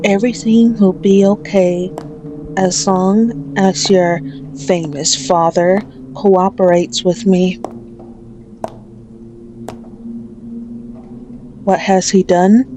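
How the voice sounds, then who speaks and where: A woman playing a sadistic, possessive female mafia boss in an ASMR roleplay, mocking and menacing, with drawn-out taunting speech. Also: fairly even intonation, background noise, lightly acted. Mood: smug, menacing. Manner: slow, taunting, drawn-out with frequent low chuckles.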